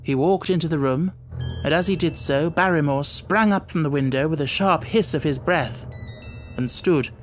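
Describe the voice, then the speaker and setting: A man reading a classic mystery audiobook as dramatic narration, with measured, suspenseful storytelling. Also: fairly high pitch, faint music behind.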